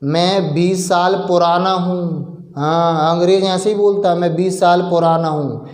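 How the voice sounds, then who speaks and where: A man from India teaching English in Hindi, explaining step by step, asking and answering his own questions, and drawing out example sentences slowly. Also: sits high, fairly even intonation, echoey room. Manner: slow, drawn-out example sentences.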